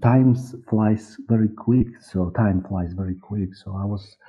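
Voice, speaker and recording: A middle-aged man with a Polish accent, a native Polish speaker, giving interview answers haltingly as he searches for words. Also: lowish voice, slightly rough. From Poland. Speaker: guest, audiobook director and producer. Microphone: headset on a video call. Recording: muffled, narrow-band video call audio.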